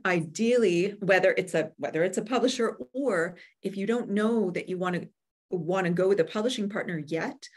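A woman in her forties speaking as an expert guest on a video-call webinar, explaining things in a measured, instructive way. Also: medium pitch. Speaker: guest expert, book publisher. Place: indoor room with bookshelves, on a video call. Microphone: video call microphone.

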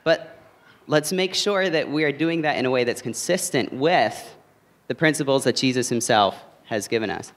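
Male panelist, thoughtful, deliberate pace, handheld mic on stage. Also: medium pitch, expressive. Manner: thoughtful, deliberate, repeats words as he thinks. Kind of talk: panel discussion. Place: stage in a large auditorium.

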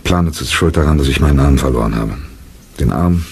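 A man acting a bitter, vengeful army theatre director in a radio drama, brooding and emphatic, with a gravelly voice. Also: very deep voice, brisk, heavily acted. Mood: bitter hatred. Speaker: actor in a radio crime drama. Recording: faint background noise, old radio play.

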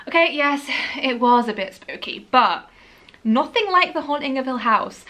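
A young woman from Yorkshire with a Lincolnshire English accent, chatting casually about books in her living room, animated and laughing often. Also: fairly high pitch. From Yorkshire, England. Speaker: book vlogger.

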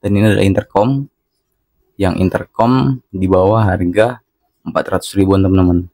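A man's voice: deep voice, steady tone, moderate pace, warm tone, dry recording. A man narrating a casual hands-on product tutorial, talking instructively to his viewers. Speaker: product reviewer.

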